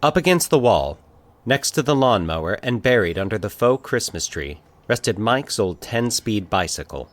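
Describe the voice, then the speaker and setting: A man from Colorado with an American accent, narrating a scripted short story in a measured, literary way. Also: fairly low pitch, animated. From Colorado, United States. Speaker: fiction podcast narrator.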